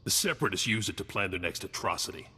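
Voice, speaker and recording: Low, husky, reverberant secret agent. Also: male voice, expressive, fast, bright, forceful tone, heavily acted. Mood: urgent, conspiratorial. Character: clipped, world-weary male intelligence agent in space. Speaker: intelligence agent. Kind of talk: video game dialogue.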